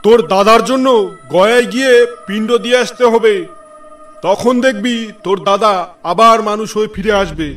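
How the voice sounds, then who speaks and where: A man voicing a wise holy man in a cartoon who speaks calmly and with authority. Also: high-pitched, moderate pace. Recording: distant voice with music behind.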